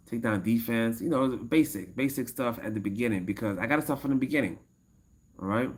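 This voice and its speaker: A man of fifty-one talking casually to his livestream chat as an MMA coach, rambling and repeating himself and laughing often. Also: mid-range voice. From United States. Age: 50s. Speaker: MMA fighter and coach streaming live.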